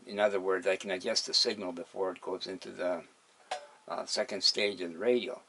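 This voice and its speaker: A man's voice: sits low, fairly even intonation, a little fast, bright, forceful tone. An older man giving an unscripted, hesitant demonstration as a hobbyist explaining his equipment in plain terms. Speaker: hobbyist radio restorer demonstrating a set. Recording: noisy home video with background hiss.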